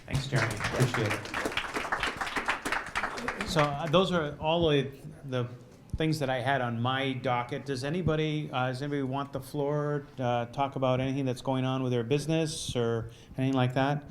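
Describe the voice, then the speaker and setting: A man's voice: mid-range voice, fairly even intonation, moderate pace, some chatter behind, a little hiss or hum. A man hosting a local business meeting, calling up speakers in a brief, informal way. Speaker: meeting host. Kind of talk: chairing a meeting.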